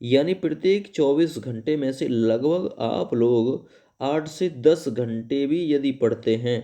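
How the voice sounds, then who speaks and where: A man's voice: medium pitch, slow pace, warm tone. A man explaining informally to students as a coach, repeating phrases for emphasis and often adding check-in tags. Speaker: exam coaching YouTuber.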